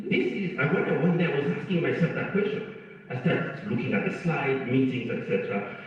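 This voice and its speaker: A man from Rwanda, a foundation leader speaking on a panel, talking earnestly and haltingly with many restarts. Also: mid-range voice. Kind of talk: panel discussion answer. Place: large convention hall. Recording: echoey hall, far from the mic, dull sound.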